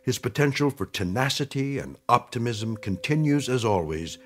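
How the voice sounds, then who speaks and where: A grave anthology narrator. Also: male voice, medium pitch, lively intonation, fairly bright tone. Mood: solemn. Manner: measured, ironic closing narration. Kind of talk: solemn 1950s radio drama narration. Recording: vintage radio recording with music.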